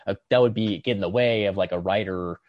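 A man co-hosting a podcast, reflecting casually and haltingly, circling back as he searches for the right words. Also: lowish voice, no echo, highs cut off. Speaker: podcast co-host.

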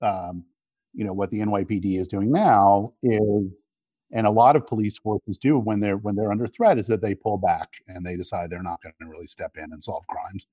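A middle-aged man in a conversational political discussion, arguing in a halting, reflective way. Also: lowish voice, dark tone, dry recording. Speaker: interview guest.

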